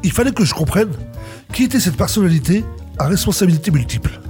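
A man's voice: high voice, very animated, fast, darkish tone, soft background music. A man with an accent from France, hosting a radio portrait and reading scripted narration. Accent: French from France. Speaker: radio host and interviewer.

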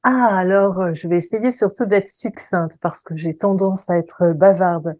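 A woman from the Paris region with a French accent, talking conversationally about herself as an interview guest, hesitant and pausing often. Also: fairly low pitch, fairly even intonation, warm tone, no echo. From Paris region, France. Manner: hesitant, halting delivery with many pauses. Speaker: interview guest, voice coach and singing teacher. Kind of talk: conversational personal account.

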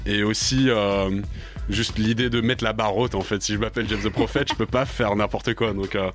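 A man's voice: fairly low pitch, fairly even intonation, moderate pace, soft background music. A man in his twenties, a rapper being interviewed in a radio studio, answering hesitantly with many fillers. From France. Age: 20s. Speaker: rapper guest.

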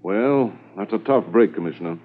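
An actor playing a calm mounted police inspector in a 1950s radio drama, steady and matter-of-fact as he reminisces. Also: lowish voice, normal speed. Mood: reassuring. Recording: muffled 1950s radio recording.

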